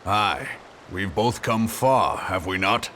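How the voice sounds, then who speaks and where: An actor playing a gruff older male ex-gladiator turned politician in a game cutscene, hearty and bluff in manner. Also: lowish voice, slow, sharp tone, slight background noise. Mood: reminiscing. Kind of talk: video game cutscene voice acting.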